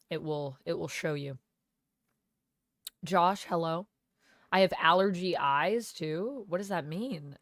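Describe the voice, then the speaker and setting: A woman streamer under the weather with a cold, talking casually with a gravelly, smoky voice as she chats with viewers and thanks them by name. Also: fairly low pitch, no room sound, clean studio sound. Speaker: live streamer. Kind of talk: casual live-stream chat, reading viewer comments. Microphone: gaming headset.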